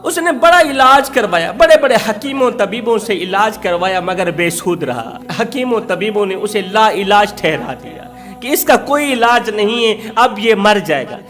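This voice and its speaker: A man's voice: high-pitched, expressive, normal speed, a bit bright, soft background music. A man preaching an emotional Islamic storytelling sermon, impassioned and emphatic, repeating phrases for effect.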